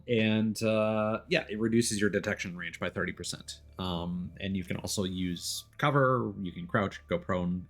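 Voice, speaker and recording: A man streaming a video game, giving casual, rambling, informal commentary as he explains how it works. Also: low voice. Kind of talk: casual let's-play commentary.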